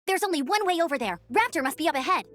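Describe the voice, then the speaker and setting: An upbeat young female game scout, very high-pitched and animated. She sounds confident, playful and encouraging, in anime-style game delivery, with faint music underneath. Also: very fast. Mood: determined.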